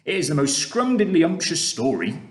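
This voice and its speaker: A man's voice: fairly high pitch, sing-song, normal speed, pitched above the performer's own voice. A man voicing a big friendly male giant who speaks in mangled grammar and odd invented words. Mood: gentle. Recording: roomy echo.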